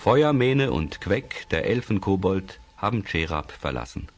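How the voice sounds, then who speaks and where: A man's voice: low-pitched, big pitch swings, a little slow, soft background music, full character voice. A man playing the solemn narrator of a children's fantasy radio play, reading scripted dramatic narration. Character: solemn storyteller of a fantasy tale.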